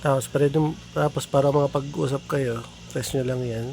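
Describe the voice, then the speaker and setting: A man with a Filipino accent giving a casual hands-on product demonstration in an informal, halting, think-aloud way. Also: medium pitch, steady tone, darkish tone, faint music behind. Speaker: product tutorial presenter. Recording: clearly noisy background.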